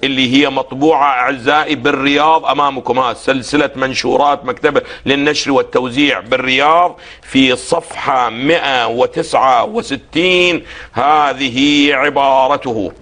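Hoarse man teaching religion on TV. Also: mid-range voice, fairly even intonation, a bit bright. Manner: didactic, poses questions for the host to finish; slow, deliberate when reading quotations. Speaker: religious scholar guest. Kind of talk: televised religious lecture.

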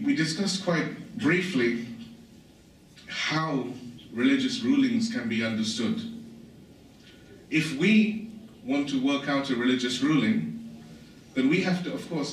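A man from England giving an informal religious lecture, explaining things conversationally with asides. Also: mid-range voice. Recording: echoey hall, voice from far off, faint hiss.

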